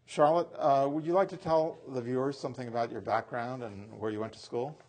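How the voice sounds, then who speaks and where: Husky-voiced male talk show host. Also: mid-range voice, fairly even intonation, darkish tone. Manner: halting, with many uh fillers. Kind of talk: studio interview hosting. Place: TV studio.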